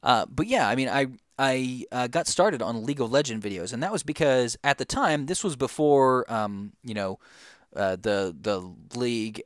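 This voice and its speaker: A man's voice: mid-range voice, dry recording, studio quality. A young man answering viewer questions in a casual, rambling way, with lots of fillers and restarts. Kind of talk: casual Q&A chat.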